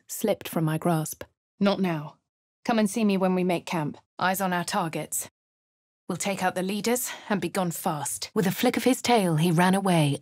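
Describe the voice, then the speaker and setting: A woman with a posh English accent voicing a refined young adventurer, crisp and composed in game voice lines. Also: fairly low pitch, darkish tone, no room sound, studio quality, close to an everyday voice. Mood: wry. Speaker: voice of a player character. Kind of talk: game voice lines, first-person narration.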